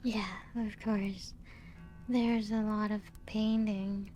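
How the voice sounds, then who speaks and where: A woman playing a genteel, serene, playful ancient vampire in a soft-spoken ASMR roleplay, with a breathy, often half-whispered voice and gentle lilt. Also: mid-range voice, level tone, natural voice. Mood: nostalgic, gentle. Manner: slow, unhurried, gently lilting storytelling. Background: music.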